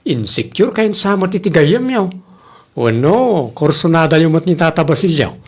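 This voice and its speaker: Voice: medium pitch, big pitch swings, normal speed, darkish tone, slightly rough. A grown child in a comedy radio drama, acted out by a performer, teasing and questioning. The voice swoops animatedly up and down. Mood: amused, teasing.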